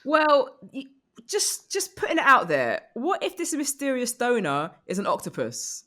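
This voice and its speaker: A woman riffing casually as a comedy podcast guest, thinking aloud in a halting way. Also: high-pitched, huge pitch swings, bright, forceful tone.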